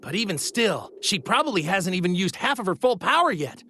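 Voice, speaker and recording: A man's voice: high-pitched, very animated, a little fast, sharp tone, a little hiss or hum, exaggerated voice. A man voicing an earnest, plain-spoken martial-arts hero in a video game, with a boyish manner. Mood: serious. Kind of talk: animated video game voice acting. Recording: music behind the voice.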